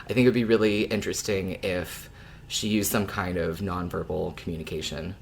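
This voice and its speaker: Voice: low voice, fairly even intonation, fairly quick, vocal fry, a little hiss or hum. A podcast co-host chatting casually and analytically about a story. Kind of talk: casual fan discussion of an audio drama.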